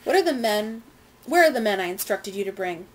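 A woman's voice: medium pitch, animated, brisk, lightly acted, low-quality recording. A woman doing a nervous merchant husband. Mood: urgent. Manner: placating, urgent.

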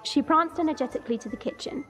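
A woman playing a haughty, irritable dragon princess who narrates her own story in a measured, scornful way. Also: high voice, a bit bright. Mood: brooding. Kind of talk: first-person story narration. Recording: music bed under the voice.

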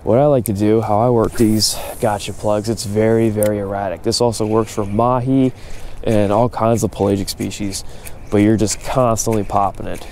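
A man's voice: on the low side, normal speed, slight background noise. A young man talking casually to an action camera while fishing from a kayak out on the open sea, explaining his technique. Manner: excitable, chatty, explains technique. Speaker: fishing vlogger.

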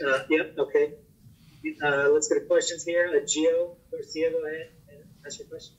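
A man moderating a press conference and calling on reporters, in a brisk, businesslike way. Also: a little high, little pitch movement. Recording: dull lo-fi audio from a distance.

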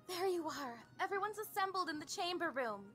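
Very high, exasperated creature aide voiced by a woman. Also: lively intonation, brisk, music in the background. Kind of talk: comic dub voice acting.

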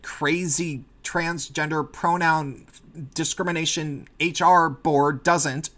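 A man's voice: a little high, fairly even intonation, slow pace, bright, forceful tone. A man with a nasal voice giving sarcastic, rambling opinion commentary as a vlogger.

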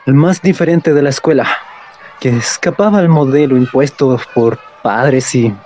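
A man's voice: medium pitch, normal speed, mellow, lightly acted. A man playing a lonely, wounded character who recalls childhood in reflective, literary radio drama monologue. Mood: wistful, wounded.

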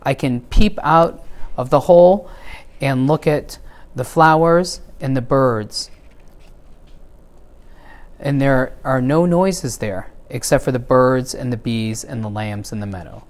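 A man's voice: medium pitch, slow pace, close to an everyday voice. A man voicing a timid, homesick male country mouse in a storybook, speaking earnestly. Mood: wistful.

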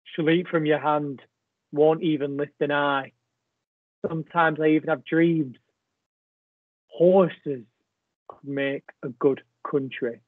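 A man's voice: a little high, fairly even intonation, slow pace, long pauses, no room sound. An actor playing a bitter, crude old rural man, delivering a blunt, contemptuous, rambling stage monologue. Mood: wistful, menacing. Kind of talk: theatrical monologue in a play reading. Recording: thin phone-like band-limited audio.

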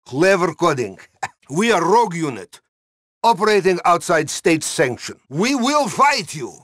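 An actor playing a blustering Russian submarine commander in a video game, with a Russian accent, speaking emphatic, repetitive broken English. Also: male voice, high voice, very animated, unhurried, fairly bright tone, dry recording, clean studio sound, heavily acted. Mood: earnest, defiant.

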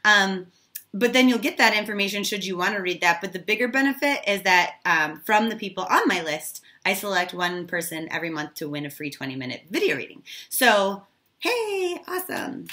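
A woman in her thirties speaks in a bright, forward voice with a slight hoarseness, as if recovering from losing it. She sits at a medium pitch and talks informally to her viewers, like a chatty online host giving a reading. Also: sing-song, moderate pace. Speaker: tarot reader and video host. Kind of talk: casual chatty tarot reading to camera.

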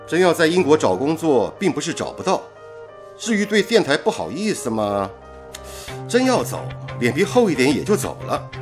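Resolute male engineer, radio drama, earnest, higher-pitched, expressive, musical backing. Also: moderate pace, faint music behind. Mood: earnest, reflective.

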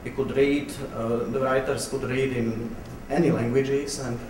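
A man from Slovakia with a Slovak accent, speaking as a panel guest, halting and repeating words as he searches. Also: medium pitch, fairly even intonation. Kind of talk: unscripted panel answers. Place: public event room, recorded at a distance. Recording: distant echoey hall, clearly noisy.

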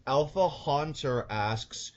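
A twenty-year-old host reading viewer questions and steering a casual chat in a brisk way. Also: male voice, medium pitch, dull audio, a crowd in the background. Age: 20s.

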